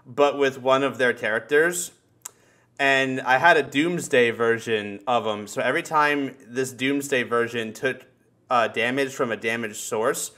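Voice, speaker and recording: A young man in casual conversation, joking and swearing freely in an animated way. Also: mid-range voice. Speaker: podcast host. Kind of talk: casual chat. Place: indoor room on a group video call.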